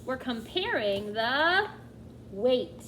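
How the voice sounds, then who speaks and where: A woman's voice: a little high, sing-song, drawn-out words, a bit bright, slight background noise. A woman teacher reading a nonfiction book aloud to children, expressively, often addressing them directly with questions and asides.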